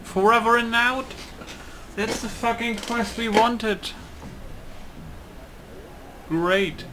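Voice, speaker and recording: A man's voice: extremely high pitch, steady tone, extremely slow. A man giving casual, informal, sweary let's play commentary as a game streamer. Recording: noisy, game sound behind.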